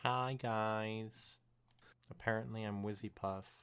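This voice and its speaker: A man's voice: fairly low pitch, steady tone, slow pace, a bit bright, smooth. A man co-hosting a casual podcast, reading a story aloud and making dry, teasing remarks. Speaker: podcast co-host.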